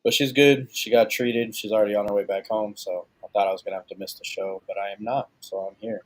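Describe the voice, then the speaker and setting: A relaxed man with a low voice co-hosts a casual podcast over a video call. His speech is slangy and joking, and he keeps the questions moving. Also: quick pace, dry recording, poor audio. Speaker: podcast co-host and father.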